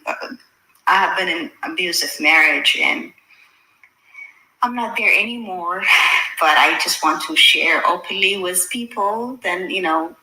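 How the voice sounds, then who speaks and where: A very bright, forward woman's voice sits slightly low for a woman and carries an Ethiopian accent from her native Amharic. She chats informally to livestream followers, working out her thoughts as she speaks. The room around her is clearly echoey. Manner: informal, thinking aloud, addressing viewers with endearments. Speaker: social media livestreamer. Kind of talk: casual livestream chat. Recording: roomy, echoey sound.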